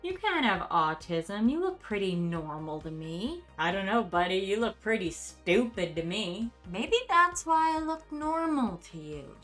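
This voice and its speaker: A woman's voice: medium pitch, sing-song, a little slow, few pauses, a bit bright. A middle-aged woman hosting a dramatic reading of comments in a theatrical, sarcastic manner. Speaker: host. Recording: music playing behind the voice. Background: background music.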